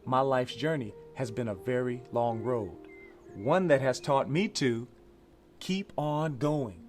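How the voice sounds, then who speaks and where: A man's voice: mid-range voice, slow pace, faint music behind. An American man presenting a children's read-along storybook, encouraging and emphatic as he invites listeners to join in. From Louisiana, USA.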